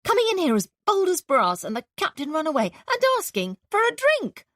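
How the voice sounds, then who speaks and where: A woman reading an audiobook, voicing an angry, shrill, indignant older landlady. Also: huge pitch swings, fairly quick, fairly bright tone, no echo, clean studio sound, full character voice. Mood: outraged.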